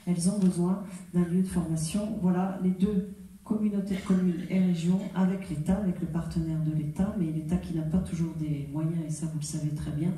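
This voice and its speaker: Heard from a distance in a heavily reverberant room, a woman addresses a public meeting as a local politician. Her voice is very dark and muted. She explains point by point in a semi-improvised way, adding tag words and correcting herself as she goes. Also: lowish voice, fairly even intonation, fairly quick. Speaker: local politician and mayoral candidate. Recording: very echoey room, distant muffled mic.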